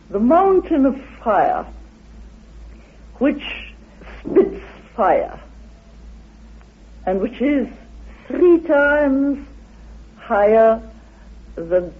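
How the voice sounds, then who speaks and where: Male scholar for interview, high husky voice, deliberate halting delivery that turns declamatory, thin old radio sound. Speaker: interviewed researcher of ancient myths. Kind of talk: lecture-like interview explanation. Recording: tinny phone-like old radio recording.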